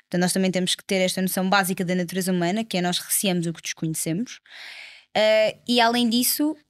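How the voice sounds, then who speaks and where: A woman's voice: mid-range voice, steady tone, fast talker, no echo, clean studio sound. A young woman in her twenties with a European Portuguese accent, a politician guest on a casual podcast who explains her views at length, earnestly and with many fillers. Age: 20s.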